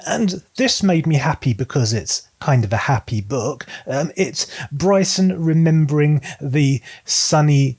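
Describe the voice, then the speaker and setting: Casting: male online book reviewer, mid-pitched, chatty yet articulate, dryly self-deprecating. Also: darkish tone. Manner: wry, self-deprecating humour. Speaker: book vlogger.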